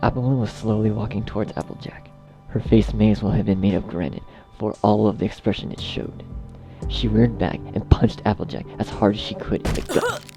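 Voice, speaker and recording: A man narrating a dark fan fiction story as a scripted reading. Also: on the low side, a little fast, soft background music.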